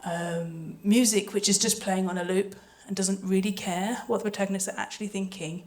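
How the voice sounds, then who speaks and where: A woman's voice: mid-range voice, steady tone, moderate pace, a little mellow. A woman speaking thoughtfully on a panel, explaining creative work in a hesitant, explanatory way into a handheld microphone. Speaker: audio drama composer and panelist. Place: live festival panel. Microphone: handheld microphone passed between panelists.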